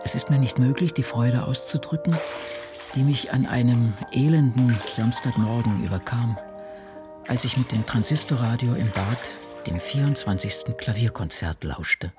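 A man playing a brooding, anguished novelist in a radio drama, speaking diary entries in a measured, introspective and intimate way. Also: mid-range voice, over music, lightly acted. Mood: brooding, bitter.

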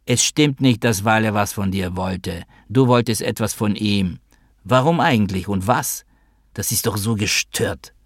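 A man's voice: fairly low pitch, a little slow, no echo, studio quality. A man playing a hurt, sarcastic younger brother, grown up and confrontational, in a literary audiobook story. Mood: accusing.

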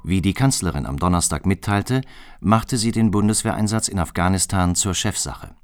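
A man's voice: sits low, expressive, normal speed, slight background noise. A man reading news and credits in a formal, scripted radio announcer style. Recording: music behind the voice. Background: music.

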